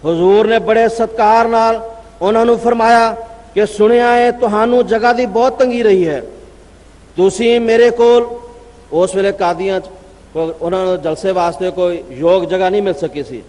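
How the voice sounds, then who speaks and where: High-voiced Punjabi man preaching in a hall. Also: fairly even intonation, darkish tone. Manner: declaiming with long pauses, reading out citations. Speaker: speaker at a religious convention. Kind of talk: formal religious speech. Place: convention gathering.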